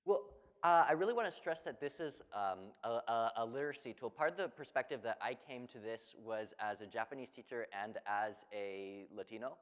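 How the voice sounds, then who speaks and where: A man's voice: mid-range voice, brisk, few pauses, a bit bright. A man answering audience questions about his startup, hesitant, with many ums and restarts. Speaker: startup cofounder, creative director. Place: event hall. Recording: long hall reverb.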